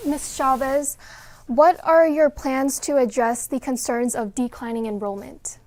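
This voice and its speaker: This woman moderates a candidate forum, reading her questions in a measured, formal manner. Her voice sits high. She addresses each candidate in turn. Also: fairly even intonation, darkish tone.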